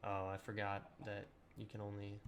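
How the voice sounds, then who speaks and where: Slightly raspy young man gaming. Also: lowish voice, normal speed, slight background noise. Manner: relaxed, rambling with many ums and throat clearing. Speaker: gaming channel host. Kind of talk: casual let's-play commentary.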